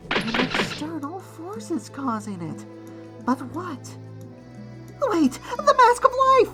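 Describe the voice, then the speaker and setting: A bright, high-pitched villain henchman voiced by an actor in a cartoon fan dub. He is hot-tempered and sarcastic, hurling scornful lines with forceful edge over faint music. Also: huge pitch swings, takes it slowly, heavily acted. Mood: scheming, excited.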